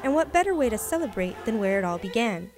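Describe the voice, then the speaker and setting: A woman reading scripted television news reports as a reporter, with crisp broadcast enunciation. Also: fairly high pitch, sing-song, fast, music in the background.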